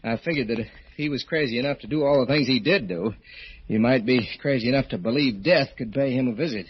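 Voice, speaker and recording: A man acting an intense, theatrical part in a 1940s radio thriller, with a husky voice. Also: mid-range voice, fast talker, natural voice. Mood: explaining. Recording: muffled old radio recording.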